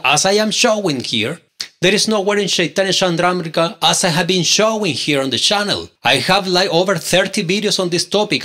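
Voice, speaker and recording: A man with a Spanish accent, a native Spanish speaker, teaches scripture from a webcam setup with a close microphone. His voice sits slightly high, and he presses his points with indignant, repeated rhetorical questions. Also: sharp tone. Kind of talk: online scripture study, argumentative. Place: indoor room, screen-share with webcam. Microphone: pink handheld mic on stand, close.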